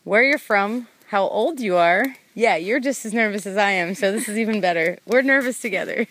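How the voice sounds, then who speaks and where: A woman's voice: mid-range voice, normal speed, a bit bright. A woman interviewing hikers at a hostel, upbeat, nervous and giggly. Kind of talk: casual field interview.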